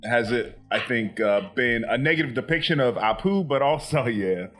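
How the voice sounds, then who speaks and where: A man's voice: mid-range voice, lively intonation, moderate pace, fairly bright tone. A young man from Florida co-hosting a casual comedy podcast, rambling with fillers and casual swearing. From Florida, USA. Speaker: podcast co-host.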